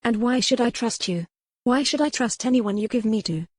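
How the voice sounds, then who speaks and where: A woman's voice: fairly high pitch, normal speed, darkish tone, no echo, clean studio sound, pitched above the performer's own voice, natural voice. A woman voicing a proud, defiant young noblewoman in an audiobook, with formal, insistent phrasing. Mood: defiant, demanding. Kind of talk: dramatic audiobook character reading.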